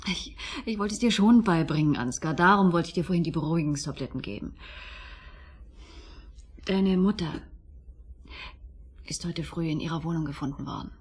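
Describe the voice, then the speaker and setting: An actress as a solicitous partner in a radio play, speaking in a lowish female voice. She coaxes and soothes, a little evasive and halting, over faint background noise. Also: lightly acted. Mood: careful, grave. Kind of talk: German radio drama acting.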